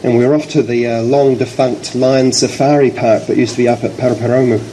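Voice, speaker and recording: Man, New Zealand accent, event host, formal prepared introduction, mellow tone, slight cold, clear background noise. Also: mid-range voice, steady tone, a little fast, poor audio. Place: public library event.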